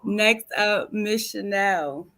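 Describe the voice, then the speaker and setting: A woman's voice: mid-range voice, drawn-out words, a bit bright. A woman hosting an online book tour interview, upbeat and chatty. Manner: upbeat, chatty, uses y'all. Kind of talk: casual interview.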